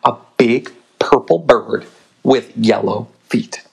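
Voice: mid-range voice, slow pace, gravelly, darkish tone, husky, exaggerated voice, low-quality recording. A storybook reader voicing an eager, chirpy young girl bear cub. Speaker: storybook character voiced by the reader. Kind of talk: storybook reading aloud.

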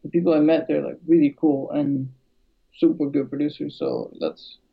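Gravelly-voiced man, halting casual chat. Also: on the high side, very animated. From Albania. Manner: hesitant, many fillers and restarts. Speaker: music producer and YouTuber. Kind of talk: casual conversation. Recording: dull lo-fi sound.

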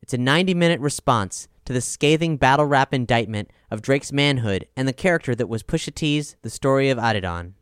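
A man delivers a scripted album review as narration. His voice is smooth and crisp at a middling pitch, recorded close and dry. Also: no echo.

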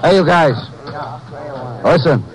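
A surly American prisoner who bullies and schemes in gruff slang. His pitch swings widely, in the manner of a 1950s crime drama on an old broadcast recording. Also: lively intonation, takes it slowly, low-quality recording. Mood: commanding, conspiring. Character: surly, bullying male jail inmate plotting a breakout.

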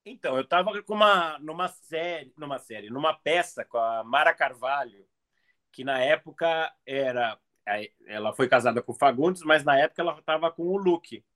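A middle-aged man talking casually as an interview guest, telling stories in an animated, conversational way. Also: on the high side, moderate pace. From outside Brazil. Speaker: guest, comedian and TV reporter.

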